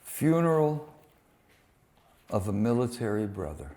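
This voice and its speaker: A man giving a dramatic live poetry reading, delivering short phrases deliberately with weighted pauses. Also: lowish voice, big pitch swings, dark tone. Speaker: poet reading translated war poems at a poetry event.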